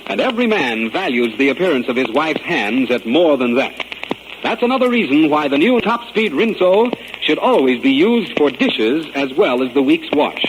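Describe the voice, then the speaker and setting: A man's voice: on the high side, big pitch swings, normal speed. A man hosting a quiz in an old-time radio advertisement with practised announcer patter. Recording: noisy old radio recording.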